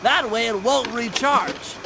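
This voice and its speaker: A man's voice: extremely high pitch, takes it slowly, creaky, noisy background, full character voice. A man voicing a brainy, earnest cartoon animal gadget expert in a video game, explaining things in precise technical terms. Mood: earnest, instructive. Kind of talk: video game cutscene voice acting. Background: background music.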